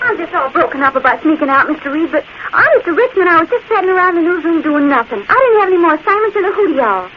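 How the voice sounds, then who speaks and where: High-voiced Southern girl reporter. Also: sing-song, rapid-fire, hardly any pauses, music in the background. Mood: coy, eager. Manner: coy, folksy, mock-innocent. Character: plucky, coy young Southern woman newspaper reporter. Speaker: newspaper reporter. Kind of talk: 1940s radio drama acting. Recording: noisy, muffled 1940s radio recording.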